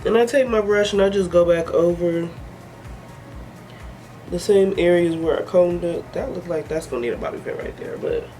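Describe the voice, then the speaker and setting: A woman's voice: fairly low pitch, normal speed, dark tone, faint music behind. A woman giving a casual hair tutorial, chatty and joking, sniffly with a head cold. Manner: chatty, joking, talks to herself. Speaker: hair vlogger.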